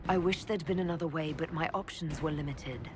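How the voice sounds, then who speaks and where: Female actor as elf leader, low-pitched, measured, firm yet tender, serious, video game drama. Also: over music, lightly acted. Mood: regretful.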